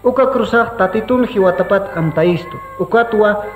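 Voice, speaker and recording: An actor playing an earnest, brotherly evangelical pastor in a radio drama. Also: male voice, high-pitched, normal speed, a little hiss or hum, lightly acted. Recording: dull reverberant radio drama, faint music.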